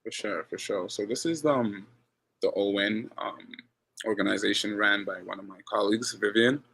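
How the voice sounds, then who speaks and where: A man's voice: lowish voice, moderate pace, creaky, slightly rough, rough recording. A man from Ghana, a basketball coach, talking casually as a guest, repeating words as he goes. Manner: relaxed, repeats words, says he has a loud voice. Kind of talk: casual interview chat.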